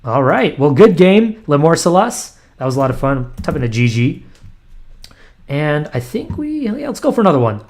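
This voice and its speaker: A man's voice: a little high, big pitch swings, moderate pace, a little mellow. A young man thinking aloud as he plays blitz chess online, muttering moves and self-deprecating asides with frequent ums and restarts. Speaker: chess streamer.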